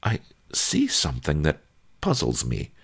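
An earnest young pupil in a storybook speaks in hushed wonder, as a man puts him on. The voice sits well above the reader's own deep tone and stays husky and gravelly. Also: wildly swooping pitch, unhurried, heavily acted. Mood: puzzled.

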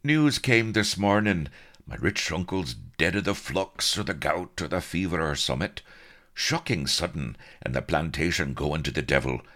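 A man's voice: deep voice, expressive, normal speed, a bit bright, pitched above the performer's own voice. A man voicing a genteel adventurer who talks with breezy nonchalance. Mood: nonchalant. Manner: breezy, genteel, casual drawl. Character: cool-headed gentleman adventurer, nonchalant colonel.

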